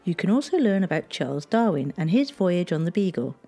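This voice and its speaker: Mellow woman narrating history. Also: fairly low pitch, very animated, faint music behind. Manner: measured, gently storytelling delivery.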